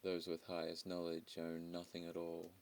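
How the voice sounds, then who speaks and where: A man delivering a dramatic spiritual monologue in solemn, deliberate short declarative phrases. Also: low voice, completely flat delivery, darkish tone, slight background noise.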